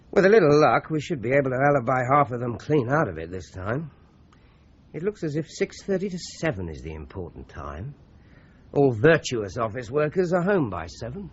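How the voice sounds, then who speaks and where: A man playing a shrewd, methodical British police inspector in a radio drama, brisk and authoritative. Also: medium pitch, slight background noise, lightly acted. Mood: brisk, dry. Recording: slightly dull radio drama recording.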